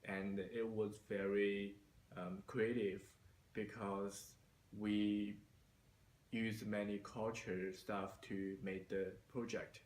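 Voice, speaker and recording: A man from China, a university student, answering interview questions slowly with many hesitations. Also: fairly low pitch, level tone, darkish tone, echoey room, poor audio. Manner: slow, hesitant, many fillers.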